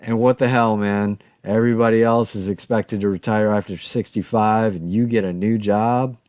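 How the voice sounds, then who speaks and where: Casting: man to play anxious zombie-apocalypse survivor, lowish voice, dry close sound, wry and rambling, halting conversational monologue for audio drama. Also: fairly even intonation, a little mellow, no echo, lightly acted. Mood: wry, exasperated.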